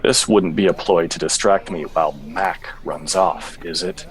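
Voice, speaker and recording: A man playing a weary, self-serious hardboiled cop in a radio play, with deadpan noir-style delivery. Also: sits low, normal speed, lightly acted. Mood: insistent.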